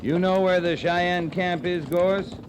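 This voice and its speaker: An actor playing a terse, dry-humored cavalry captain in a 1950s radio western, with a gruff, blunt manner. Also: male voice, high-pitched, fairly even intonation, slow pace. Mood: impatient. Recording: old radio recording with background noise.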